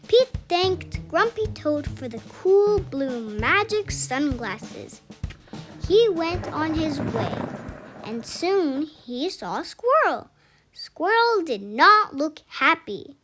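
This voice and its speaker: A woman reading a children's story aloud with expressive, emphatic narration. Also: high voice, slow, music in the background. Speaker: storybook reader.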